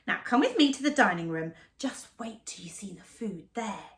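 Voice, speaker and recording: A woman's voice: a little high, wildly swooping pitch, fast talker, fairly bright tone. Woman doing a bold, showy town mouse. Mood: dismissive.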